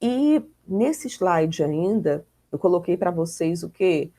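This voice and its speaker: A woman's voice: lowish voice, animated, slow, dark tone, dry recording. A Brazilian woman giving an informal law lecture, explaining in a didactic, conversational way and often checking in with short tag questions.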